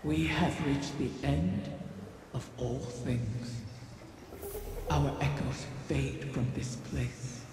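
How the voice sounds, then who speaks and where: An actor playing an ancient wish-granting dragon, cunning and solemn, speaking gravely and formally in a video game, with a cavernous effect. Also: male voice, medium pitch, background noise. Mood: elegiac. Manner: slow, grave, formal, deliberate. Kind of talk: scripted video game dialogue. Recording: long cavernous reverb. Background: music.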